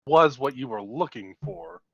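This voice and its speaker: Slow-talking man, game master, dull dry audio. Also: medium pitch, lively intonation, creaky, a bit bright. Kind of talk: casual explaining of a game story.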